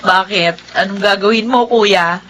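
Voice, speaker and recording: An actress playing a composed, sober middle-aged attorney aunt in a Filipino family drama. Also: female voice, medium pitch, a little slow, a bit bright, slight background noise, natural voice.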